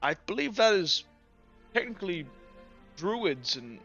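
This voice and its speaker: A man voicing a modest, weary college gardener in a halting, rambling way for a tabletop game. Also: sits high, big pitch swings, bright, forceful tone, highs cut off, soft background music, heavily acted. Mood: puzzled, reminiscing. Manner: halting, rambling, self-effacing.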